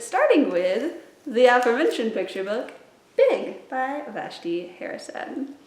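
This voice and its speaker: A woman hosting a casual book recommendation video as a children's librarian, speaking in an enthusiastic, expressive way. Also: medium pitch. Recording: echoey room with faint background noise.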